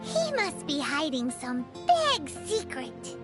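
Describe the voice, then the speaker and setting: Tiny childlike game fairy: extremely high, squeaky, swooping delivery, chirpy and cheeky, music behind. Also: female voice, takes it slowly, exaggerated voice. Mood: gossipy, smug. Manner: speaks of self in third person, animated. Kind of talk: animated video game voice acting.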